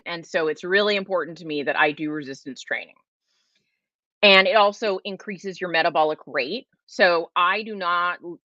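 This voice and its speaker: A woman's voice: lowish voice, sharp tone, no room sound, highs cut off. A woman in her forties hosting a casual livestream Q&A, chatty and emphatic, with lots of fillers and restarts. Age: 40s. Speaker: host.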